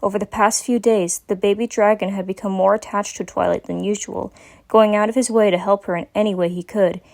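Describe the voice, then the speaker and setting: A woman's voice: medium pitch, steady tone, a little fast, gravelly, darkish tone. Woman narrating a story. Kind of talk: dramatic story reading, scripted narration.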